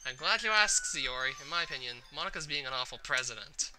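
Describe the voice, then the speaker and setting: A man's voice: mid-range voice, expressive, fast, over music, natural voice, rough recording. A man voicing a feisty, blunt teenage schoolgirl in a visual novel, snappy and indignant. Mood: defiant.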